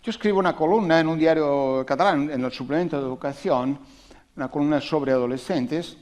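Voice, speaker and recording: A man giving a public talk to an audience on education, animated and emphatic, asking rhetorical questions and making conversational asides. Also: fairly high pitch, brisk. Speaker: invited speaker on education.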